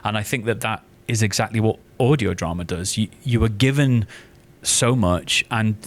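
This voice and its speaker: A man's voice: on the low side, normal speed. A man talking earnestly and casually as an interview guest, often repeating words as he forms his thoughts. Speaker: guest, theatre maker and writer.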